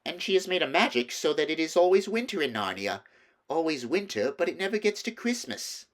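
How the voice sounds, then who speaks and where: A man voicing an innocent, chattering young girl with excited enthusiasm in a storybook. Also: on the high side, a little fast, pitched above the performer's own voice. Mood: earnest, indignant.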